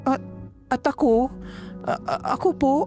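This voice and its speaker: A woman's voice: high-pitched, fairly even intonation, measured pace, a little mellow, faint music behind. A woman playing a frightened, tearful young female call center agent, hesitant and stammering, in a horror audio drama. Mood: fearful, tearful.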